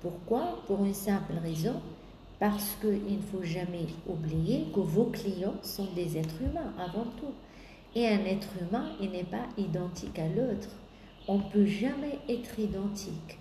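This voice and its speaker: A woman business coach giving a sales coaching talk, pausing often on drawn-out fillers and listing points in repetition. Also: medium pitch, animated, dark tone. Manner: hesitant, many drawn-out "euh" fillers, repetitive lists. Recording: echoey room with faint background noise.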